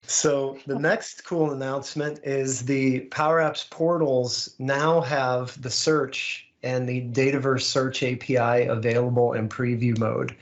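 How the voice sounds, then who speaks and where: A man's voice: medium pitch, level tone, measured pace, few pauses. Lo-fi male host, informal delivery. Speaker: community call host. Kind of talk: casual online presentation of tech news. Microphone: online call microphone. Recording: slightly dull, lo-fi online call audio.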